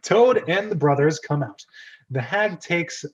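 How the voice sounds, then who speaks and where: Animated, higher-voiced man hosting a script reading. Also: a little slow, highs cut off. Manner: playful, self-interrupting, chatty. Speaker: host and narrator of script reading club. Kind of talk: casual amateur script reading with commentary.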